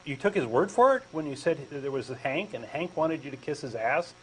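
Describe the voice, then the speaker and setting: Stammering, skeptical man, lively pitch. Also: brisk, a little hiss or hum, rough recording. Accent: Texan. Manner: skeptical, hesitant questioner who stammers. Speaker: co-host doing a comic dramatic reading. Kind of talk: comic scripted dialogue reading.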